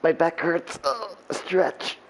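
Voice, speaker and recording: A man's voice: a little high, takes it slowly, fairly bright tone, a little hiss or hum, low-quality recording. A man livestreaming a game, reacting casually to what happens as he plays.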